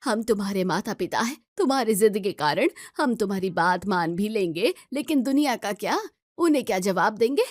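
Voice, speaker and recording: A woman's voice: medium pitch, lively intonation, brisk, dry recording, clean studio sound, natural voice. Woman acting a strict, scolding middle-aged mother.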